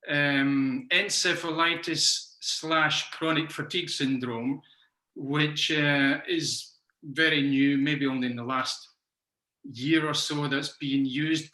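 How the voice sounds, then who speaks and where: Scottish man, 60s, slightly high bright voice, hesitant ums, echoey video call. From Scotland. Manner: unhurried, frequent ums and uhs. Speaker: macrobiotics teacher. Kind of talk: presenting an online talk. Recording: roomy echo.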